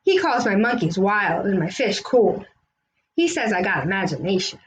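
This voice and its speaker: A woman reading a children's picture book aloud in an expressive storytelling style. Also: medium pitch, normal speed, dull audio, slight background noise.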